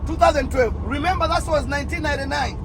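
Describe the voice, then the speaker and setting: A man's voice: extremely high pitch, quick pace, a crowd in the background. A middle-aged man from Tanzania with a Tanzanian accent, telling personal stories casually and repeating phrases for emphasis. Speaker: truck driver telling his experience. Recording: noisy outdoor urban traffic noise.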